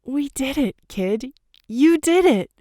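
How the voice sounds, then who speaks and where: A woman's voice: sits high, animated, slow, dark tone, no room sound, clean studio sound. A woman acting as an earnest, emotional doctor, a ghostly companion to a survivor, in a radio drama. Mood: moved.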